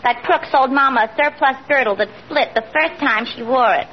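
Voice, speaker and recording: A woman's voice: high voice, normal speed, natural voice. An actress playing a brash, sassy young woman who needles in slang, in a 1940s radio comedy. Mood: indignant. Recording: muffled, noisy old radio, studio audience laughter.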